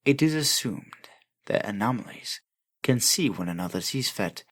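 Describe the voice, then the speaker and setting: A man playing a solemn archivist, reading observation records in a formal, measured, detached narration. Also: medium pitch, expressive, a bit bright. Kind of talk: scripted in-world documentary narration.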